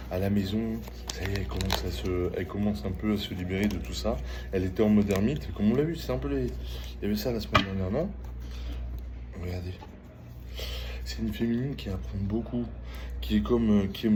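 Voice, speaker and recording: A middle-aged man chatting casually as he gives a tarot reading, halting and repeating phrases, often checking in with the listener. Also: on the low side. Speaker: tarot reader. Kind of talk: casual spoken tarot reading with personal chat. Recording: clearly noisy ambient background.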